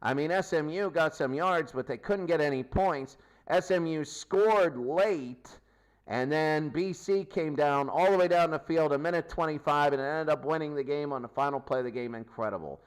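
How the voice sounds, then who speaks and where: A man's voice: fairly high pitch, normal speed, clean tone, low-quality recording. A man hosting a sports post-game show, recapping games in an emphatic way and repeating his phrases.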